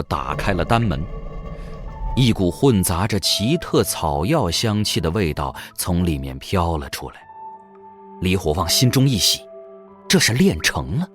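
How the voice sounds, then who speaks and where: A man reading scripted, dramatic narration for a fantasy audio drama as its narrator. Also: low voice.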